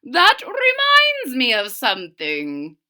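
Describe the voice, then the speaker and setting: An ancient storybook wizard, voiced by a woman, self-satisfied and in no hurry. He draws his words out very slowly in a raised, bright voice. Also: high-pitched, huge pitch swings, full character voice. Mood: smug, unhurried. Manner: slow, drawn-out, deliberate. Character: unhurried, self-satisfied nine-hundred-year-old male wizard.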